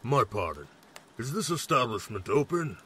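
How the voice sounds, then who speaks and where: A man playing a calm, courteous reptilian dragonborn warrior in a fantasy audio drama, with a rough voice and formal, measured speech. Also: mid-range voice, slight background noise. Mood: polite.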